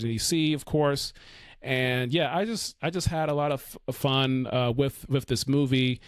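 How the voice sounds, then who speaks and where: A male podcast host talks through a film review in a loose, rambling way, leaning on fillers and restarting his sentences. His voice sits in a middle register and is starting to go hoarse. The sound is dry and close, with no room echo. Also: mid-range voice. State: losing his voice. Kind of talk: casual solo film review.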